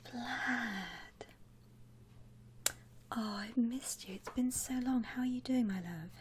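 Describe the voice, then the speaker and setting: A woman playing a friendly, attentive saleswoman in a whispered ASMR roleplay, speaking softly in soothing customer-service patter with endearments. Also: a little high, slow pace, slight background noise, voice pushed up. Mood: affectionate. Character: friendly attentive saleswoman at a vampire coffin shop. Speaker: ASMR performer.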